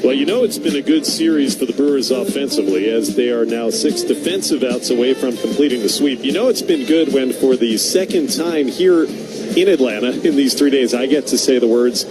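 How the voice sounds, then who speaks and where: Male sports radio commentator, medium-pitched, speaking over clearly audible music. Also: moderate pace, low-quality recording. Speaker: baseball radio broadcaster.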